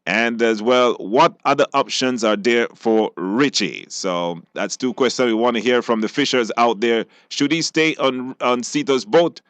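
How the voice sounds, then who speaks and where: A man's voice: medium pitch, unhurried, hardly any pauses, fairly bright tone, dry recording. A man with a Belizean accent hosting a live radio call-in show and talking to guests and listeners.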